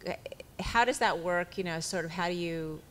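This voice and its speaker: A woman's voice: lowish voice, normal speed, a bit bright, a little hiss or hum. A woman hosting an interview, asking curious, probing follow-up questions. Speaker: podcast host.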